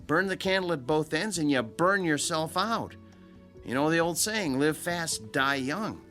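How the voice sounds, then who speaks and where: A stern, caring doctor in a radio drama. His fairly high, forceful voice rises and falls widely as he admonishes, with faint music underneath. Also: lively intonation, measured pace. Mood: firm, admonishing. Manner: firm, emphatic, admonishing. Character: stern, concerned male doctor lecturing a patient.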